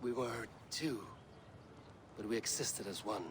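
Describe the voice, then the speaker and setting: An actor playing a solemn, intense Norse warrior in a cinematic video game, speaking in grave, deliberate, formal phrases. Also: male voice, mid-range voice, background noise, lightly acted. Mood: awed, solemn. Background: music.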